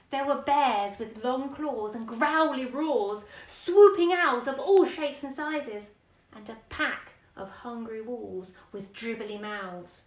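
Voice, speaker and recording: A woman reads a children's bedtime story expressively, with chatty explanations and questions for young listeners. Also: fairly high pitch. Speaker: storyteller reading a children's picture book. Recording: roomy echo, distant microphone.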